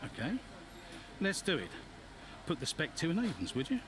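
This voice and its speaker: High-pitched, commanding racing boss. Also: male voice, wildly swooping pitch, normal speed. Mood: brisk, teasing. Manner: blunt, commanding, scolding. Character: hot-tempered middle-aged male racing team boss. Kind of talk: cinematic video game dialogue.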